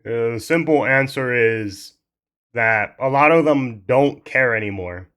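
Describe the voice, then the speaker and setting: Young male commentator, mid-pitched, heated casual rant, swears, restarts lines. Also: a little slow, a bit bright, clear, resonant tone. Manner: heated, blunt, swears freely, restarts sentences.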